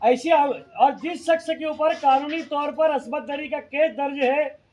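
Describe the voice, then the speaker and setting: A man with a strikingly high-pitched voice addresses a community meeting as moderator. He explains things point by point in unscripted speech, checking back with those listening. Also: unhurried, a little mellow, poor audio. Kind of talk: impromptu public address.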